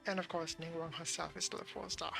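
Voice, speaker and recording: A game streamer giving casual, musing, halting live commentary on a game's story. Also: medium pitch, little pitch movement, creaky, pushed and bright, soft background music.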